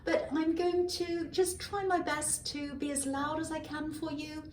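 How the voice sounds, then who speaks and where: A hoarse woman giving a casual tarot reading, musing aloud with many ums and self-corrections, recorded on a faulty microphone. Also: high voice, little pitch movement, measured pace, slight background noise. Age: adult. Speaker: tarot reader. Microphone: malfunctioning microphone at a distance. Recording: echoey room, far from a faulty mic. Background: faint passing cars.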